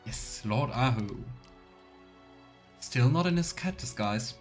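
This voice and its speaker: A man's voice: mid-range voice, animated, slow, soft background music. A man streaming a video game with casual, musing commentary, thinking aloud as he plays. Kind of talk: casual let's play commentary.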